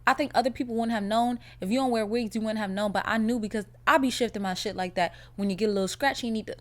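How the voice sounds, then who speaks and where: A young woman hosting her own podcast, chatting casually in a blunt, profane, animated way, her voice a little raspy from a cold. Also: fairly high pitch, quick pace. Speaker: podcast host. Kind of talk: casual solo podcast chat.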